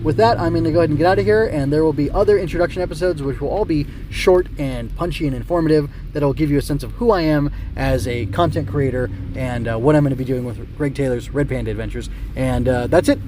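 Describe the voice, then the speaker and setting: A man's voice: a little high, fast talker, few pauses, dark tone, a little hiss or hum. A man hosting a solo podcast, talking off the cuff in a rambling, self-correcting way. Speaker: podcast host. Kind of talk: unscripted solo podcast talk.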